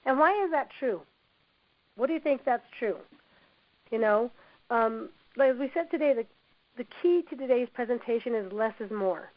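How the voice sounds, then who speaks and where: A woman with a hoarse voice from a cold, presenting a workplace training webinar in an encouraging manner, often saying "you know". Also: fairly high pitch, lively intonation, normal speed, pauses often. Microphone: computer mic on a webinar call. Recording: dull lo-fi web audio.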